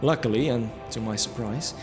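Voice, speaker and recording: A man's voice: mid-range voice, moderate pace. A man narrating a scripted fantasy audio drama in the first person, reflective, with wry asides. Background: music under the voice.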